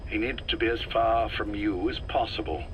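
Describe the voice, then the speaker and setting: An actor playing an ailing, calculating older intelligence chief in a video game, speaking in a measured, deliberate and politely menacing way. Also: male voice, on the low side, muffled recording, slight background noise, lightly acted. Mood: cool, controlled. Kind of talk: scripted game dialogue, dramatic acting.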